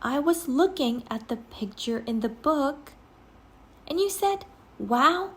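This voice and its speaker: An eager young girl adventurer from a children's storybook, acted out by a woman. She is bold, friendly and excitable, with a high voice that swings widely in pitch. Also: slow, heavily acted. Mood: amazed.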